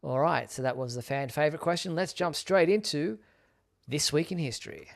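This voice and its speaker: A man's voice: fairly high pitch, fairly quick, vocal fry. A man casually hosting a live show, presenting and reading out viewer messages.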